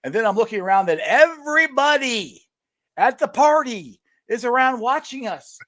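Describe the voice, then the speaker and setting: A middle-aged man hosting a casual sports chat, telling stories in a rambling, animated way with many restarts and laughs. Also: extremely high pitch, normal speed, no room sound. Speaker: podcast host.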